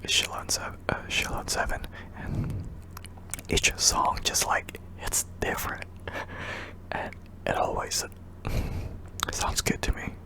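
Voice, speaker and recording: A man's voice: slow pace, long pauses, bright, forceful tone, low-quality recording. A young man from Indonesia, whispering hesitantly with many ums while answering viewer questions in an ASMR video. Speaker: ASMR channel host.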